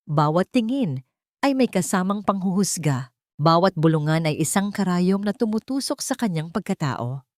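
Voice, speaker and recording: A woman's voice: lowish voice, lively intonation, normal speed, a little mellow, no echo, studio quality. A woman narrating a dramatic scripted story with solemn, emotive delivery.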